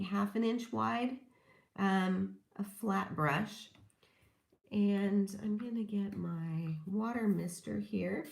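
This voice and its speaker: A woman demonstrating papercrafting in a gentle, chatty tutorial, a little hoarse from a lingering cold. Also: medium pitch, echoey room. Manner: gentle, chatty, laughs softly while working. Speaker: papercrafting instructor. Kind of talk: casual craft tutorial demonstration.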